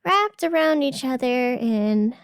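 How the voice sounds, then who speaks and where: A very high-pitched, chatty radio host played by a woman reports live in an audio drama podcast. The delivery is informal and frazzled, with an exasperated edge. The sound is dry and close. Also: animated, slow pace, clear, resonant tone, dry recording. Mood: pleading, exasperated. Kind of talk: fiction podcast broadcast narration.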